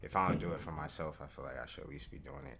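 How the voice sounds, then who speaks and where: A young man being interviewed as a recording artist, talking in a relaxed, slangy way and thinking aloud with fillers. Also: very deep voice, very fast, gravelly, a little hiss or hum. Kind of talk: casual interview chat.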